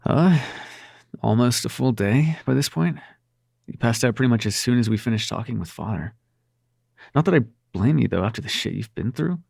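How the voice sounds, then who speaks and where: A man's voice: medium pitch, animated, normal speed, gravelly, darkish tone, breathy edge, no echo, clean studio sound. A man playing a cocky, sarcastic demon prince with a tender side in an intimate audio roleplay, speaking casually with teasing and swearing. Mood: playful, teasing. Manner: casual, sweary, teasing, hesitant when sincere.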